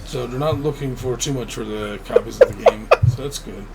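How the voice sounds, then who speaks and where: A man's voice: medium pitch, brisk, over loud music. A man co-hosting a podcast, talking in an informal, slangy way as casual banter. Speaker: podcast co-host. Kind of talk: casual banter about gaming news.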